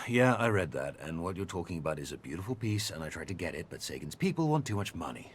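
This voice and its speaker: Low-pitched man, deliberate, earnest, articulate, game audio log, clearly noisy rumbling background. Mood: resigned. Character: earnest, measured man debating belief and science. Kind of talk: naturalistic game audio log dialogue and reading. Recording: steady rumbling background noise.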